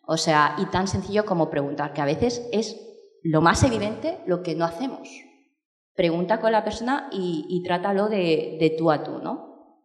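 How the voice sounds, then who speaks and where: A woman's voice: on the low side, lively intonation, normal speed. Woman talking in an echoey room. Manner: reflective, many fillers and restarts. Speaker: documentary filmmaker. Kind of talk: thoughtful interview talk. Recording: echoey room with long reverb.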